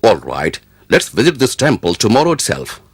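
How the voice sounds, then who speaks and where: An actor playing a proud, devout, easily angered Mughal emperor in an animated moral story, speaking in an imperious, declamatory way. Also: male voice, lowish voice, normal speed, heavy background noise. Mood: confident, challenging.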